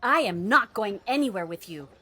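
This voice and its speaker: An actress playing a weary, guarded widow in an audio drama, plain-spoken, hesitant and stubborn. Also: female voice, medium pitch, animated, normal speed, bright, forceful tone, faint music behind. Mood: defiant. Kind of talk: dramatic audio fiction acting.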